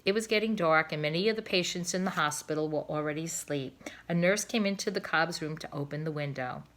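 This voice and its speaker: A woman reads a children's book aloud. Her voice sits a little low and has a bright, forceful edge. Her delivery is expressive and emphatic. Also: brisk. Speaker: storybook reader.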